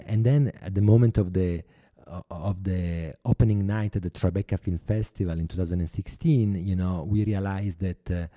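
Deep, dark-voiced Italian man. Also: no room sound, clean studio sound. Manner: thoughtful, many hesitations and restarts. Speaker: guest. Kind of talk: podcast interview.

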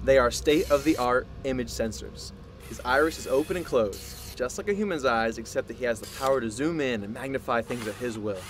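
An actor playing a proud, obsessive male scientist in an audio drama, speaking in a deliberate, grandiose way. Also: medium pitch, natural voice. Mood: proudly demonstrating. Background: music.

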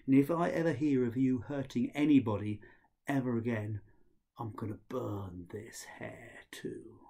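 A man's voice: mid-range voice, a little slow, mellow. A man voicing a clever, bold young male hero in a folktale, who calls out urgent warnings and stern orders.

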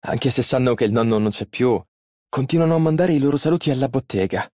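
A man's voice: medium pitch, animated, fast talker, mellow, no echo, studio quality, close to an everyday voice. An actor playing a polite, earnest and easily flustered young male tailor in an Italian game dub. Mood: gentle, wistful. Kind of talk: video game character voice lines, Italian dub.

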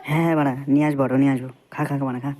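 A man's voice: medium pitch, steady tone, racing, darkish tone, natural voice. A man voicing a generous but wary young male buddy in a crude comedy cartoon, blustery and slangy, often repeating himself.

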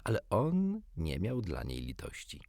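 A man with a lowish voice reads a children's story expressively. His pitch moves in lively swings, and the recording is dry and close. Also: a little slow, vocal fry, no room sound. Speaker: audiobook narrator. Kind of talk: expressive scripted storybook narration.

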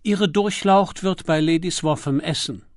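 A male narrator plays a stubborn, respectful valet in an audiobook. The delivery is formal and firm, pitched above a plain reading voice. The sound is dry and close. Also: a little slow, no echo, lightly acted. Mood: deferential, formal. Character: dignified, stubborn, deferential manservant of a lord. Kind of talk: audiobook character voice.

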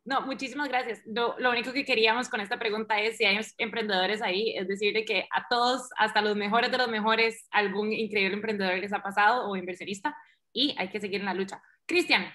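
A woman with a bright, medium-pitched voice. She talks rapidly as she informally co-hosts an online event. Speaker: co-host. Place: indoors on a video call.

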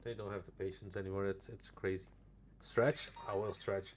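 A man's voice: low-pitched, little pitch movement, moderate pace. A man streaming a role-play game live, bantering playfully with plenty of swearing and exclamations. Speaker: streamer role-playing a paramedic. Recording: sirens and vehicle noise behind. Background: game sirens and vehicle sounds.

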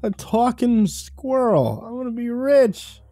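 A tiny foul-mouthed squirrel chatters in a shrill, gleeful squeak. His voice leaps way up high and bounces around. Faint music hums under a dry, close storybook reading. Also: expressive, slow, dark tone, no echo, heavily acted. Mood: scheming.

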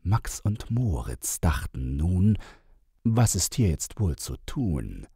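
A man narrating rhyming children's verse as an audiobook, in an expressive, measured storyteller's manner. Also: sits low, breathy edge, no room sound, clean studio sound.

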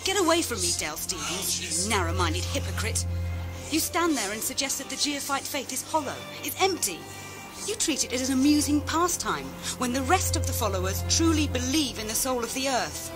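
A woman's voice: sits high, animated, moderate pace. A woman playing a fervent, easily indignant believer in an audio drama. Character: Helen, a fervent, indignant female earth-faith believer. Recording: loud music behind.

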